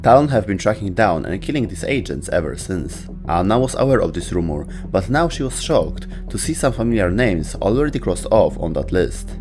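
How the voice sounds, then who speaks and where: A man narrates a scripted video essay in a fairly low voice. His intonation moves in a lively way, and faint music plays underneath. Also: moderate pace, hardly any pauses.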